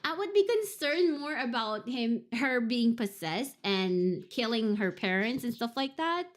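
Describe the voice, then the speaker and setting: A woman's voice: fairly high pitch, expressive, a little slow. A young woman streamer reading a visual novel aloud and reacting expressively with laughs and comments.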